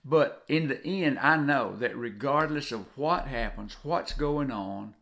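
A man's voice: medium pitch, unhurried, gravelly, fairly bright tone. Older Southern man, earnest. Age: 60s or older. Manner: earnest, repeats phrases for emphasis. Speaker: co-host of a devotional video. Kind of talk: informal devotional talk. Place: home kitchen, indoors.

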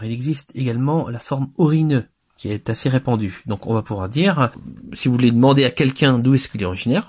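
A man's voice: medium pitch, breathy edge. A man teaching a language lesson over slides, explaining step by step with fillers and restarts.

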